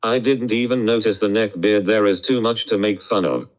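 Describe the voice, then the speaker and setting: A computer-generated male voice reading out short viewer messages with an even, synthetic delivery. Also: lowish voice, normal speed. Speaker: text-to-speech reader of viewer chat.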